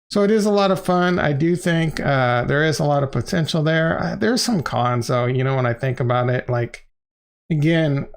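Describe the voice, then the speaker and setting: A man's voice: mid-range voice, vocal fry. A middle-aged man hosting a livestream, explaining things in an informal, slangy, conversational way and repeating phrases for emphasis. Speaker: crypto channel host and livestreamer. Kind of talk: casual, unscripted livestream explainer.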